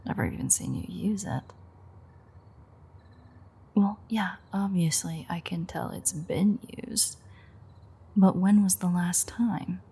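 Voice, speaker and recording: A woman playing a sardonic, teasing female vampire mechanic in an audio roleplay, with dry sarcasm and a husky, gravelly, breathy delivery. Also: lowish voice, slow pace, long pauses, warm tone, exaggerated voice. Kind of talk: audio roleplay acting, intimate one-sided dialogue.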